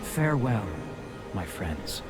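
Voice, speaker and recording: A noble, serene judge in a game cutscene. He speaks low and slowly, formal and grave in every phrase, under loud music. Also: big pitch swings. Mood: serene farewell. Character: solemn noble male judge, serene otherworldly arbiter. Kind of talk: dramatic video game cutscene acting.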